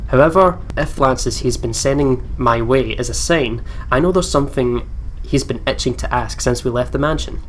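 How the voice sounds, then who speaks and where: A man hosting a let's play, reading story text aloud and breaking in with reactions and asides. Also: mid-range voice. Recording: clear background noise.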